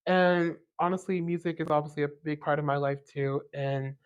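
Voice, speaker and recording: Young man with a very high tenor voice. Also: fairly even intonation, smooth, lo-fi sound. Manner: eager, enthusiastic, quick to agree. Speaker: podcast host. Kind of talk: casual podcast chat.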